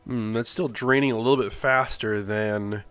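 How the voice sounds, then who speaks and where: A man's voice: medium pitch, steady tone, moderate pace, fairly bright tone, no room sound, faint music behind. A man with a stuffy nose from a cold, casually thinking aloud as he plays and builds in a game. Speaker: let's play host. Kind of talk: casual gameplay commentary.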